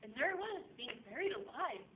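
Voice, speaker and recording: A woman's voice: big pitch swings, a little fast, echoey room. A woman performing a comic stage monologue as a chatty, flighty, very young divorcee with dramatic, gushing delivery. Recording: dull, noisy amateur recording with low rumble.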